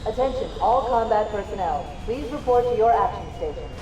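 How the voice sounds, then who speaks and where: An actress playing a composed warship computer in a video game, giving formal, clipped announcements over a public-address system. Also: female voice, mid-range voice, lively intonation, brisk, dark tone, slight background noise. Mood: formal, urgent. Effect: ship public-address system. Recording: echoing, dull, distant public-address sound.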